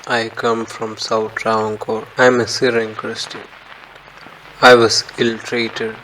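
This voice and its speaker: A man's voice: on the low side, flat delivery, measured pace, sharp tone, slight background noise, rough recording. An actor voicing a young sailor giving a solemn account of his ill-treatment. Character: wronged young Indian sailor from the south, solemn. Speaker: voice of a sailor's testimony.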